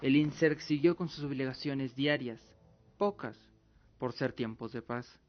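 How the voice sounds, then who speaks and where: A man's voice: mid-range voice, unhurried, lo-fi sound, faint music behind. A man reading scripted dramatic narration as the storyteller of an audio drama.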